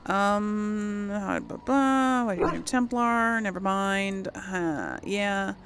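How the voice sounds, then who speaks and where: A woman hosting a casual role-play gaming video, musing aloud haltingly and often clearing her throat. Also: medium pitch, a bit bright, slight background noise.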